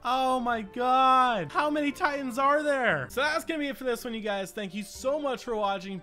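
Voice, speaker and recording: A man hosting a let's-play, commenting excitedly and swearing freely, often repeating phrases in quick bursts. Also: very high-pitched. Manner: foul-mouthed, exclamatory, repeats phrases in bursts. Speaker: gaming video host.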